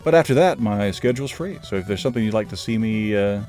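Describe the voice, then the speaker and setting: Rambling low-voiced man reviewing films. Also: fast, faint music behind. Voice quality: says his own voice is normally monotone. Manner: rambling, self-deprecating, fillers and restarts. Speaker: movie reviewer. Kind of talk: casual rambling film review.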